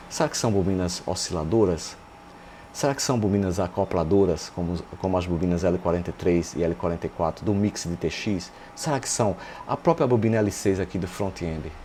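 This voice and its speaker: A Brazilian man with a fairly low, warm and mellow voice walks through a technical demonstration. He sounds like an informal instructor, posing rhetorical questions and adding little check-in tags as he explains. There is faint noise behind him. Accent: Brazilian Portuguese. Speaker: radio repair instructor and channel host.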